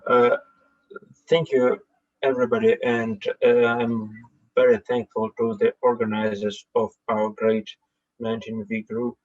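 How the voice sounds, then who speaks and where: A man's voice: medium pitch, flat delivery, measured pace. Guy on a video call, lots of uh and um, muffled lo-fi audio. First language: Russian. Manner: hesitant, many filler sounds. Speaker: seminar participant. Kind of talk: online meeting remarks. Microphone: computer mic on a video call.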